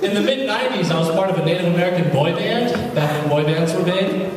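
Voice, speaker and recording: A man's voice: high voice, lively intonation, fast talker, noisy background. A young man doing a stand-up comedy set at a college open mic, telling crude, self-mocking jokes in a casual way. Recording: echoey hall, distant mic, crowd laughter. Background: audience laughing.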